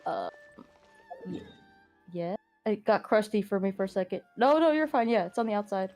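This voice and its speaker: Female game streamer: lively, swooping delivery, giggly casual banter, faint music behind. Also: mid-range voice, normal speed. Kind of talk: casual chat while gaming.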